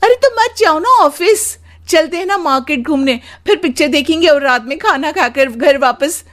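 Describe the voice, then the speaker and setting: A nagging young cartoon wife, put on by a woman narrator, very high and shrill. She wheedles and insists, expecting to get her way. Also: animated, moderate pace, fairly bright tone.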